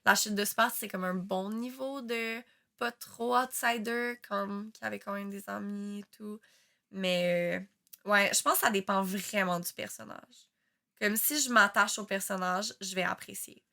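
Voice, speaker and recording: A woman's voice: mid-range voice, steady tone, unhurried, creaky, bright, forceful tone. A young woman who grew up speaking another language, with a Quebec French accent, co-hosting a podcast in chatty, laugh-filled talk. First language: not French. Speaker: podcast co-host. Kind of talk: casual chat.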